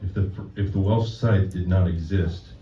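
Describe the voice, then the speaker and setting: A man reading his own poetry at a live event, speaking in a deadpan, measured, formal manner. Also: deep voice. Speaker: poet reading own work. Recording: dull, roomy, distant event recording.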